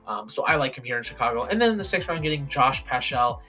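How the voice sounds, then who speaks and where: A young man talks through football draft picks in a casual, opinionated way, with plenty of ums and straight-up verdicts. His voice is hoarse and raspy, at a middling pitch, and faint music plays underneath. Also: animated, fast, pushed and bright. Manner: opinionated, plain-spoken with frequent ums. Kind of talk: casual solo commentary.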